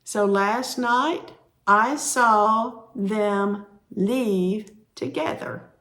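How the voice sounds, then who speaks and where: Lady going through sign language phrases real slow, saying each word over and over as she shows it. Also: mid-range voice, a bit bright. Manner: repeats words slowly while demonstrating signs. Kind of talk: slow instructional explanation.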